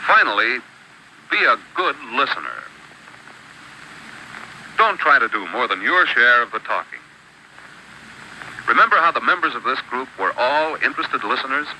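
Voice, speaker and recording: Bright, lively male educational narrator. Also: medium pitch, a little hiss or hum, low-quality recording. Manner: measured, instructive, lists rules one by one. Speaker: narrator of an instructional film. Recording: old archival film soundtrack.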